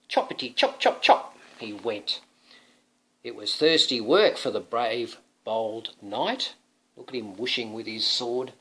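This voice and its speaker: A man reading a children's storybook aloud in an animated, playful way, like a dad with questions for his young listener. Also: mid-range voice. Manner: dramatic, playful, often asking the listener questions. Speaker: father reading a picture book to his young son.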